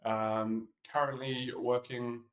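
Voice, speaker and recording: A man's voice: medium pitch, flat delivery, slow pace. A man with a New Zealand accent, an opera singer, chatting playfully as a podcast guest. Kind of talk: casual interview chat. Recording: roomy echo.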